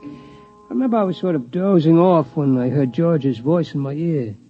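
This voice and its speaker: An actor playing a timid, folksy old security guard, rambling and hesitant, in 1950s radio drama style. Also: male voice, a little high, animated, normal speed. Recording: muffled vintage radio recording, faint music.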